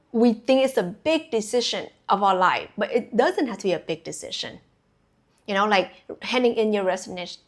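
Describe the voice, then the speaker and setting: Young woman from vietnam doing a chill spiritual reading to camera, lots of "like" and "you know", mid pitch. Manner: chatty, many fillers and restarts, laughs easily. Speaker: spiritual coach. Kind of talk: casual intuitive reading to camera.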